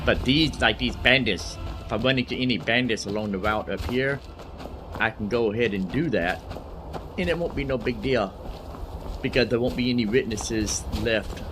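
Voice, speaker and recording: A man's voice: medium pitch, normal speed, music in the background. A man giving casual, folksy commentary as he plays a game. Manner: folksy, colloquial. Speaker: gaming host.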